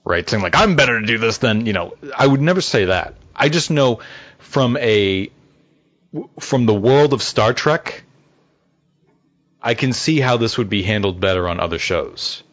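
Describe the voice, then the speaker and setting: A man's voice: lowish voice, lively intonation, moderate pace, a bit bright. A man co-hosting a podcast, talking casually and earnestly as a fan and acting out bits of imagined dialogue. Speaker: podcast co-host. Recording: slightly dull, lo-fi audio.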